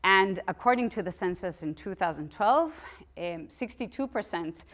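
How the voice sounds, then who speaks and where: A woman's voice: medium pitch, expressive, normal speed, a bit bright. A woman from Costa Rica giving a public lecture, talking in a conversational way with frequent ums and restarts. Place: lecture room.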